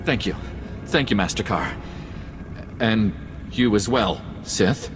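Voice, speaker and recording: A man's voice: on the low side, lively intonation, slow. An actor playing an uneasy, deferential male underling in a video game, with a hesitant manner. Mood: relieved, grateful. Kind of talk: video game cutscene acting. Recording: game music behind the voice.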